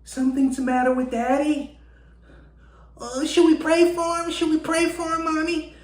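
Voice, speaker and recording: A man's voice: extremely high pitch, flat delivery, moderate pace. A man voicing an anxious young child who pleads worriedly in a radio play audition. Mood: anxious, pleading. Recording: echoey room with hiss.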